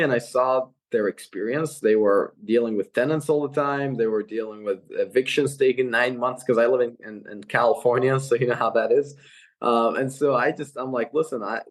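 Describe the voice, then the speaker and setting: Earnest young man telling his story. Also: mid-range voice. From Iraq. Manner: earnest storytelling with frequent fillers and restarts. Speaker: guest, real estate investor. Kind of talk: casual podcast interview. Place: California.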